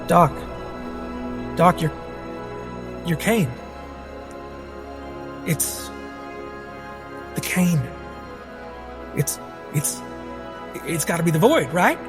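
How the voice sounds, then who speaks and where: A man voices an excitable male adventurer in a tabletop game. The voice sits high, blurting and stammering in alarm, repeating itself urgently, yet with slow gaps between bursts. Soft music plays underneath. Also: exaggerated voice. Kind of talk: tabletop roleplay acting.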